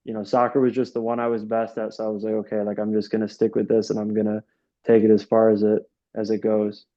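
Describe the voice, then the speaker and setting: Low flat-voiced young man rambling. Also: fast, lo-fi sound. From Rochester, New York. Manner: rambling, many restarts and fillers. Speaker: interview guest, soccer coach. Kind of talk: casual interview answers.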